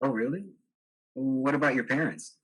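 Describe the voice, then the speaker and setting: A man's voice: medium pitch, moderate pace, breathy edge, natural voice, rough recording. A young man playing a smug, tactless date in a student stage drama, glib and dismissive. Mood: smug, prying. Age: young adult.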